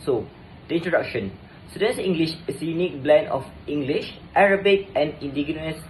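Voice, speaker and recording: A man's voice: on the high side, slight background noise, poor audio. A young man presenting a student assignment, reading formally with a few stumbles and fillers. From Malaysia. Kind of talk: scripted presentation.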